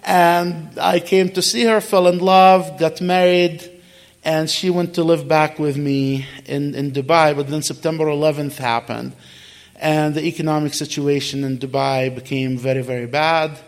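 Gravelly middle-aged man telling his story. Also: on the high side, steady tone, unhurried. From Kuwait. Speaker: guest speaker answering audience questions. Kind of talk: conversational personal storytelling.

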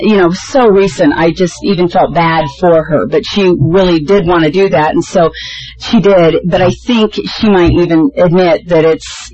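A woman's voice: fairly low pitch, darkish tone, dull audio. A woman panelist answering an audience question in a reassuring, thoughtful, conversational way.

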